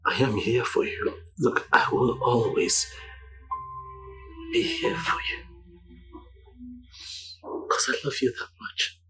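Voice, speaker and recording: A man's voice: mid-range voice, takes it slowly, pauses often, soft background music, rough recording. An actor playing a devoted husband who soothes and pleads with his distraught wife. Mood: pleading. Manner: soothing, pleading, repeats himself gently. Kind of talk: film drama acting.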